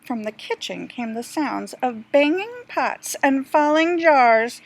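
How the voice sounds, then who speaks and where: Slow, high-pitched woman storyteller. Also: animated, creaky, poor audio. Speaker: teacher reading to pupils. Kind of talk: children's storybook read-aloud narration.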